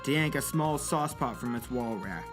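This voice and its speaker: A man's voice: mid-range voice, lively intonation, normal speed, over music. A man narrating a scripted story for an audio drama.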